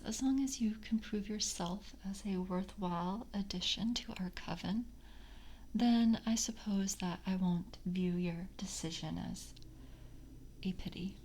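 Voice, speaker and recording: A woman voicing a polite, hungry, slyly predatory vampire coven screener in a soft ASMR roleplay, whispering and hesitant, with formal, coaxing words. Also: medium pitch. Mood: measured, formal.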